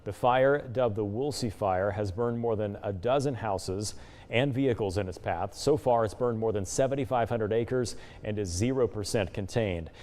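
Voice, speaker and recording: A man's voice: on the low side, normal speed, a little mellow. An American man delivering broadcast news as an anchor.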